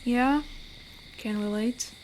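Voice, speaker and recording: A woman voicing an amiable, protective, lonely female werewolf in an intimate audio roleplay, speaking soothingly and reflectively with pauses. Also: a little high, fairly even intonation, a little mellow, slight background noise, poor audio. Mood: gentle, guarded. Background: night forest ambience with crickets.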